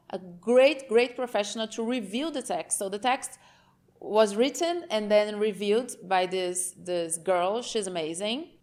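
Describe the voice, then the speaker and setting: A Brazilian woman talks straight to the camera in English, her second language, with a Brazilian Portuguese accent. She is unhurried and middling in pitch. Her talk is frank and a little rambling, and she keeps checking in with the listener. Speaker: channel host.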